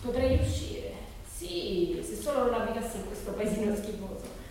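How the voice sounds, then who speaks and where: A woman's voice: medium pitch, animated, moderate pace, dark tone, far from the mic, natural voice. A woman playing a curious, outspoken teenage schoolgirl in a dramatic monologue, colloquial and incredulous. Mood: musing. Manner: colloquial, incredulous, self-questioning. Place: large reverberant room, distant mic. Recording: echoey hall from afar, noisy.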